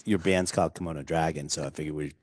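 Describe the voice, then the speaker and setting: A man's voice: a bass voice, fairly even intonation, fast talker, no echo, clean studio sound. A man hosting a casual whiskey tasting, chatty and rambling with jokes. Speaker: host.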